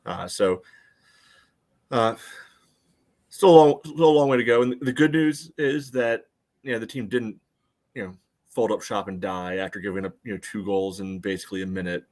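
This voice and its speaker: A man's voice: fairly low pitch, normal speed, pauses often, darkish tone. A man co-hosting a soccer podcast, casually analysing play in an explanatory way with frequent "you know" asides. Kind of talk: casual sports analysis chat.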